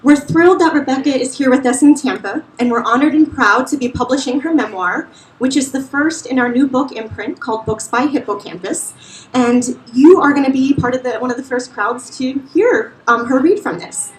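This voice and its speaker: A woman's voice: high voice, normal speed, a little hiss or hum. Woman emcee, upbeat and chatty, in a roomy bar with a faint crowd murmur. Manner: upbeat, chatty, laughing easily. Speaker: host introducing readers. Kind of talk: live event hosting and raffle. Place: bar with a live audience. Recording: roomy live bar sound.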